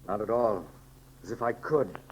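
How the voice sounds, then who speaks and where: A man acting a young adventure hero in 1930s radio serial style. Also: lowish voice. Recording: noisy, clicking 1930s radio serial recording.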